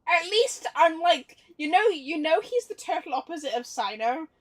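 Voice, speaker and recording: A woman's voice: very high-pitched, big pitch swings, normal speed. A woman giving casual, exclamatory livestream commentary on a game, repeating phrases and swearing freely.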